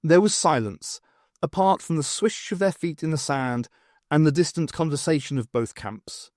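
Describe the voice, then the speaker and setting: Male audiobook narrator, fairly high voice, very animated delivery, close dry sound. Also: normal speed, no echo. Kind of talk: expressive scripted fiction narration.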